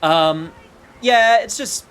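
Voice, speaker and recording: A man's voice: high voice, very slow, a bit bright, slight background noise. A man livestreaming a video game with casual chat and wry, joking, sometimes sweary commentary.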